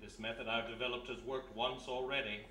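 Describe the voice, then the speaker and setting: A man playing a coldly polite older villain, a scheming gentleman archaeologist, in a live radio mystery. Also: medium pitch, steady tone, fairly quick, close to an everyday voice. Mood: smug menace. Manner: formal, mock-courteous, menacing. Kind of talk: 1930s-style radio play acting. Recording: echoey, distant live stage, noisy.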